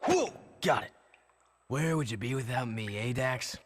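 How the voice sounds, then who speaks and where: An actor playing a curt, irritable young action hero in a video game. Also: male voice, medium pitch, animated, a little slow, long reverb tail, heavy background noise, lightly acted. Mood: grumbling.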